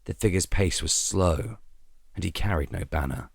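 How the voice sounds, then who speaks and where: A male narrator reads a dramatic scripted tale. His voice is very low, and it is recorded dry, with hardly any room sound. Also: lively intonation, moderate pace, gravelly, husky, dry recording.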